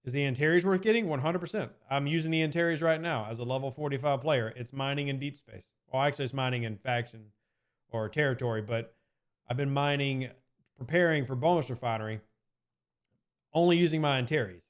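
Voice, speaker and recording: A man's voice: medium pitch, a little fast, clean tone. A man streaming live and answering chat questions in a casual, rambling way, often hedging with "I mean" and repeating his points.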